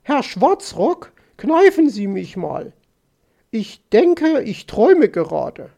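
A man voicing a fussy, easily startled female magpie in a storybook, with excitable, exclaiming delivery. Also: very high voice, takes it slowly, creaky, pitched above the performer's own voice, heavily acted. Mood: startled, indignant.

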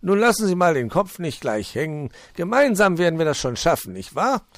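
A man voicing a jovial, hospitable male fox in a storybook, speaking with cheerful, polite warmth. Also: a little high, very animated, normal speed, no room sound, studio quality, voice pushed up. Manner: warm, cheerful, politely formal. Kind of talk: storybook character voice.

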